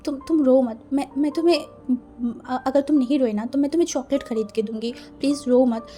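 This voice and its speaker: Kind young guy calming a kid down, mellow and soft, lady narrator doing him. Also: high-pitched, moderate pace, soft background music, natural voice. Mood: soothing. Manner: soothing, repeats words. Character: caring young man comforting a child.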